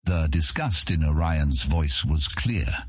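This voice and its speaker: A male narrator with an extremely deep, husky voice reads a fantasy story. His delivery is measured and scripted, in the manner of an audiobook. The sound is dry and close. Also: lively intonation, no echo.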